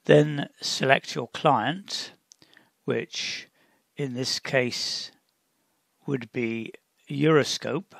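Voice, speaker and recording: A man presents a software tutorial at a very unhurried pace. His voice is creaky and gravelly, and he pauses mid-sentence as he gives deliberate, step-by-step instructions. The sound is dry, with little room in it. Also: medium pitch, dry recording.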